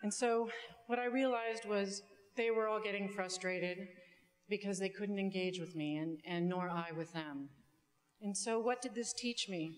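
Middle aged lady doing a grad speech, big hall reverb, mid pitched, measured delivery. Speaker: student commencement speaker. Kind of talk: prepared public speech with some ad-libs. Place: indoor auditorium stage. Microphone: podium microphone. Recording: long hall reverb from stage sound system.

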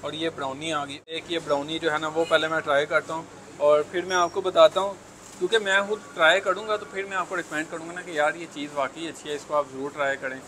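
A man's voice: a little high, steady tone, fast, sharp tone, rough recording. A young man from Pakistan with a Lahori Urdu accent, touring a bakery for his food vlog, chatty and keen, often saying "yaar". He wears a lapel mic in a busy shop. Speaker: food vlogger. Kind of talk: casual food vlog walkthrough. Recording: crowd chatter and traffic behind.

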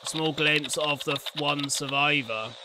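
A man's voice: fairly high pitch, unhurried, background noise. A young man giving casual, slangy reaction commentary with blunt swearing and muttered asides into a close desk mic. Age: young adult. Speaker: reaction video host. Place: indoor room at home. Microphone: close desk condenser mic, headset on.

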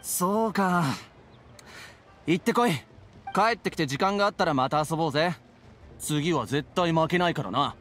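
ゲームで、男性声優が演じる誇り高く負けず嫌いな若者。くだけた、意地っ張りな口調で話す。. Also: male voice, on the high side, lively intonation, moderate pace, pauses often, a bit bright, faint music behind. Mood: competitive. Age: young adult. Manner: casual, stubborn. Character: proud, sulky young man, competitive friend. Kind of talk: Japanese anime game voice acting.

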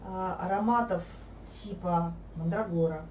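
A woman in her thirties, a guest on a sofa in a living room, talking in a thoughtful, measured way, recorded by a camera across the room. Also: on the low side, a little mellow. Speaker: guest, fairy-tale therapist. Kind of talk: casual conversation. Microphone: fixed camera across the room. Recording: echoey room, mic at a distance, faint hiss.

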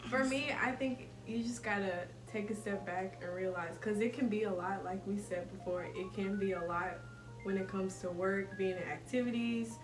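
A woman's voice: medium pitch, moderate pace, over music. A young woman from Boston talking casually and thoughtfully among friends. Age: young adult. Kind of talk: casual chat. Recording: roomy sound from a distance.

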